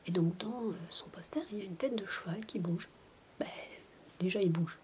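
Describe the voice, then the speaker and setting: A woman doing soft-spoken ASMR storytelling, playful and whispery, wandering into chatty asides as if to a child. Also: fairly low pitch, normal speed, a little hiss or hum. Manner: playful babysitter addressing a child listener. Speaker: ASMR roleplay performer.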